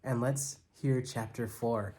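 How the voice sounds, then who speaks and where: Male storyteller, mid-range voice, expressive and animated, reading a storybook aloud for children. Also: medium pitch, takes it slowly. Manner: expressive, acts out characters' lines. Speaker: church staff storyteller.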